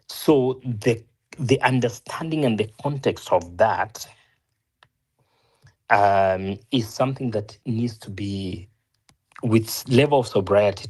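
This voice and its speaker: A man's voice: on the low side, husky. A Kenyan man arguing his point in a discussion, earnest, often restarting and correcting himself, rising as he presses a point. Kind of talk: opinionated discussion.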